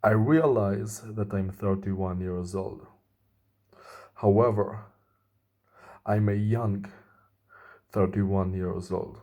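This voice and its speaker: A man in his thirties speaking earnestly in a slow, deliberate personal monologue to camera. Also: on the low side, fairly even intonation, a little mellow. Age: 30s. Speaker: vlogger.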